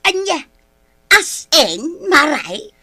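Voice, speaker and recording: A woman's voice: high-pitched, sing-song, very slow, pushed and bright, no echo, exaggerated voice. A woman with an Ilocano accent playing a gossipy, grumbling village wife, complaining and exclaiming in comic drama. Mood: indignant, gossipy. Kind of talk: broad comic drama acting.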